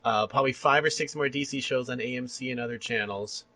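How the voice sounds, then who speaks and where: A man chatting casually as a podcast interviewer, talking in an animated, rambling, enthusiastic way. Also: medium pitch, fast talker. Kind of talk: casual fan chat about entertainment.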